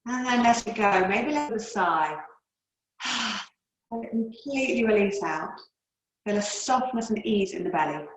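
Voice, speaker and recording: A woman with a mid-pitched voice guides breathing and relaxation as a yoga teacher. She speaks calmly and soothingly, leaving long unhurried pauses. The sound is roomy, with clear echo around her words. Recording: roomy, echoey indoor sound.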